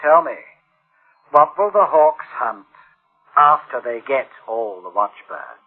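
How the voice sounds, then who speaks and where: Tinny radio-drama troubled, impassioned engineer. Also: male voice, medium pitch, very animated, slow, heavily acted. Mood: bitter, resigned. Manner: impassioned, moralizing. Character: troubled, principled male engineer full of regret. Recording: tinny, band-limited radio drama recording.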